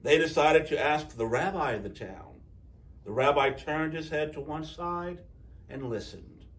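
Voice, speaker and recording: Higher-voiced man reading a children's story, off-mic. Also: a little slow, far from the mic. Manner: animated, acting out dialogue. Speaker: storyteller reading a picture book.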